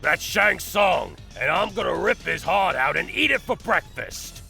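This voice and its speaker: A man voicing a bloodthirsty, boastful gang thug with a strained, gravelly voice in a comic dub. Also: high-pitched, lively intonation, unhurried, sharp tone, faint music behind, heavily acted. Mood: menacing. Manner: menacing, boastful. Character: vicious, bloodthirsty male crime gang thug.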